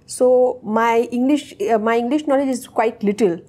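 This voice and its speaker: A young woman whose first language is Urdu, answering interview questions in hesitant, halting English. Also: on the high side, a little mellow. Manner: hesitant, searching for words. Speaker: interviewee, technical associate.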